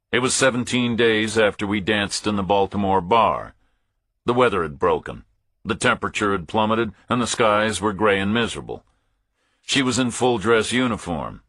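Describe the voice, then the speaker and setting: A man reads a first-person thriller as an audiobook. His voice sits fairly low, with a forceful edge and a raspy grain. The sound is dry and close, with the measured phrasing of scripted narration. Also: no room sound.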